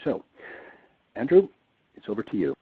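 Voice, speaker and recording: A man's voice: lowish voice, huge pitch swings, normal speed, slight background noise. A man hosting a webinar, asking questions and introducing guests in a courteous, formal way. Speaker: webinar host. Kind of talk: moderating a webinar. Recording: tinny phone-line audio.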